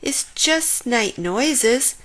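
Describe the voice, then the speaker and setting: A woman voicing a calm, matter-of-fact female porcupine who soothes her friends in a children's story. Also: on the high side, drawn-out words, pitched above the performer's own voice, heavily acted.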